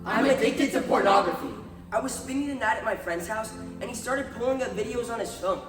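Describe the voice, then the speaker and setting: A man's voice: extremely high pitch, fairly quick, over loud music. A young man playing a troubled teenage boy in readers theatre, earnestly pleading for help. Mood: troubled confession. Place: large carpeted conference room, far camera. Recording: echoey hall, distant microphone.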